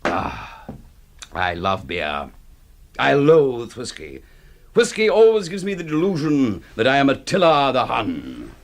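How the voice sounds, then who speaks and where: Casting: grandiloquent hard-drinking critic, middle-aged, scornful, theatrical swooping delivery for radio drama. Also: male voice, mid-range voice, huge pitch swings, a little slow, creaky, exaggerated voice. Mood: boisterous. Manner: pompous, florid, declamatory.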